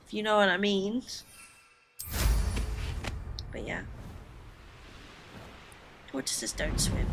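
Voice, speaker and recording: A woman's voice: mid-range voice, vocal fry, noisy background. Chatty streamer woman from the Philippines. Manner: rambling, chatty, laughing asides. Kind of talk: casual gameplay chat with viewers.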